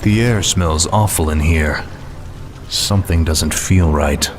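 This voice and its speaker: An actor playing a cold, arrogant undead death knight prince in a video game, commanding, impatient and sardonic. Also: male voice, a bass voice, slow. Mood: haughty. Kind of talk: video game cutscene acting.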